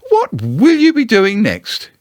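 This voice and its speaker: A man lifts his voice into a cheerful mother kangaroo from a storybook, soothing yet firmly in charge. The tone climbs high and lilts lavishly, and the delivery is unhurried. The sound is dry and close. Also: huge pitch swings, no room sound, full character voice.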